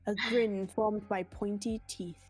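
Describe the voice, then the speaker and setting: A woman's voice: mid-range voice, steady tone, measured pace. A woman streamer giving a giggly, dramatic reading of a story among friends. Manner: giggly, theatrical. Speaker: streamer reading fan fiction aloud. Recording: live group stream with music behind. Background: music and friends laughing.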